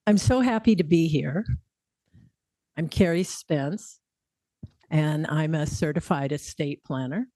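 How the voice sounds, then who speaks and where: A woman's voice: low-pitched, dark tone, no echo. A woman in her sixties, an estate planner on a panel, speaking in an earnest, prepared way with repeated phrases. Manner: slow, earnest, repetitive phrasing. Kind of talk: prepared speech.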